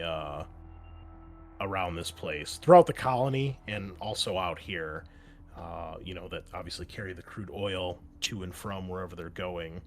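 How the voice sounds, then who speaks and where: A man narrating as game master of a tabletop role-playing game, rambling a bit with fillers as he describes the scene. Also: low-pitched. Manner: loose, rambling with many fillers.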